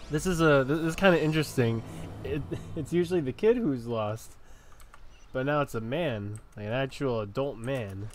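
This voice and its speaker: A man's voice: medium pitch, a little slow, pauses often, a little hiss or hum. A man giving casual commentary as he plays through a video game. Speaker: gaming streamer.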